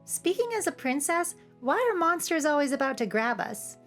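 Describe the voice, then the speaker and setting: A woman's voice: high voice, lively intonation, moderate pace, fairly bright tone. A woman giving scripted, humorous commentary as a video essay host, with playful, cheeky asides. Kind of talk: scripted, humorous commentary over cartoon clips. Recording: music behind the voice. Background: background music.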